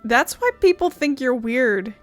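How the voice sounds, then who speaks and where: A woman's voice: high voice, animated, unhurried. Casual muttering woman playing a game. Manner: muttering, self-deprecating, sweary. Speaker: game streamer, let's player. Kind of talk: casual gameplay commentary. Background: game music.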